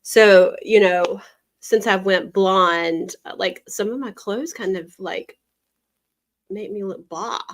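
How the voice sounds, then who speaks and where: Congested lady rambling on a live stream, lots of ums and likes, middle pitch. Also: slow. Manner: chatty, rambling, frequent fillers. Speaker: yarn business co-host. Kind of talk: casual live-stream chat.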